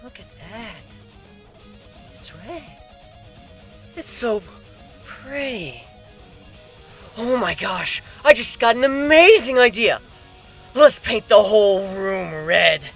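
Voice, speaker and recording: A giddy, berserk griffin woman from a fantasy audio story, put on by a male reader. The voice is high and childlike, stretching words into a singsong with murderous innocence. Faint music runs behind it. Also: lively intonation, gravelly, a bit bright, full character voice. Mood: giddy, deranged. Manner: singsong, drawn-out, deranged innocence.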